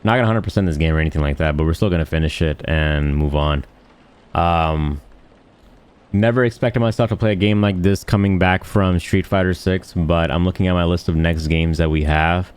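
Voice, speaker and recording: A man with a low voice hosts a gaming video. He talks off the cuff in a blunt, slangy style, rambling on with crude turns of phrase. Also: brisk, clean tone. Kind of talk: casual, unscripted gameplay commentary.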